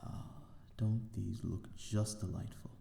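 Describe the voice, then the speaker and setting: A man's voice: fairly low pitch, fairly even intonation, takes it slowly, vocal fry, dark tone, roomy sound, a little hiss or hum, heavily acted. A man playing a courteous, lonely vampire lord in an ASMR roleplay, speaking in a genteel, formal and slightly hesitant manner. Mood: tender, grateful. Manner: genteel, formal, hesitant, old-fashioned wording. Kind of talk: ASMR audio roleplay, amateur drama.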